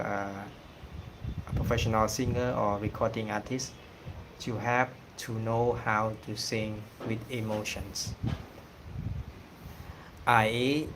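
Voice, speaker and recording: A man from Cambodia with a Cambodian accent, whose first language is Khmer, talking casually on a livestream and pausing often with fillers. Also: on the low side, level tone, background noise. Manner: hesitant, many pauses and fillers. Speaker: singing channel host.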